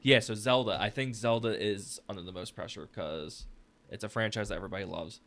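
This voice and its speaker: A man's voice: fairly low pitch, fairly quick, fairly bright tone. A man hosting a casual group chat, posing questions and sharing his views. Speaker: podcast host posing questions.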